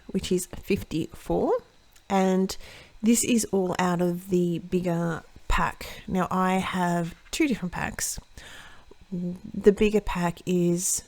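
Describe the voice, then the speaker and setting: A woman hosting a watercolour card-making tutorial, chatting through each step as she paints and often correcting herself mid-sentence, her voice a little croaky. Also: on the low side, darkish tone, no room sound. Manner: chatty, self-correcting, thinks aloud while painting. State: slightly croaky voice. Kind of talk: casual step-by-step craft demonstration.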